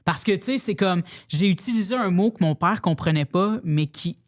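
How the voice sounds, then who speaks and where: A woman's voice: on the low side, moderate pace. A woman with a Québécois accent, a podcast guest talking frankly in casual conversation, restarting sentences often. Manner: frank and blunt, lots of restarts and filler phrases.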